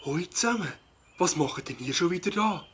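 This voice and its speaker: Man acting a husky Swiss German bird-watcher. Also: high-pitched, lively intonation, normal speed, a bit bright, exaggerated voice. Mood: puzzled. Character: mild, puzzled male bird-watcher, absorbed in his hobby. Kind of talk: children's audio play acting.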